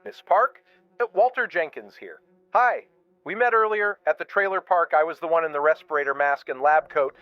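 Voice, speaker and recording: A man's voice: a little high, moderate pace, no room sound, close to an everyday voice. A man playing an awkward, earnest and fussy responsible adult in an audio drama, slightly muffled. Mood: awkwardly reassuring.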